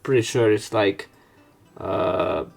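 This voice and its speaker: A man's voice: fairly low pitch, slow, vocal fry, faint music behind. Sweary man doing game commentary. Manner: sweary, sarcastic. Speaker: let's play host. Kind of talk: casual game commentary, reading dialogue aloud.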